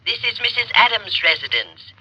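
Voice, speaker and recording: A woman's voice: on the high side, very animated, moderate pace, pushed and bright, husky, exaggerated voice. An actress playing a formal, guarded woman answering a house phone in a 1940s radio drama. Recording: muffled lo-fi 1940s radio broadcast.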